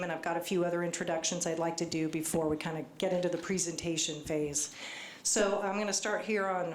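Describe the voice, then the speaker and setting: Soft voiced lady at a community meeting mic, kinda nervous, reading notes, roomy hall sound. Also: fairly low pitch. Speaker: state agency official presenting. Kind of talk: public meeting presentation. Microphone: handheld microphone. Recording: echoey hall with faint noise.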